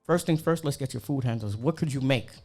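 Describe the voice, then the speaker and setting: An animated young man from New York, chatting casually about cooking with slang and quick asides. His voice sits at an ordinary middle pitch. Faint music plays underneath. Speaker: cook. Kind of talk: casual chat.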